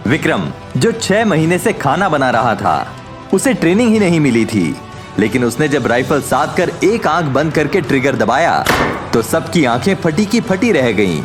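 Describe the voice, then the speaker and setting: A man's voice: a little high, very animated, moderate pace, music in the background. A man reading a scripted audio story as its narrator, dramatic, suspenseful and emphatic.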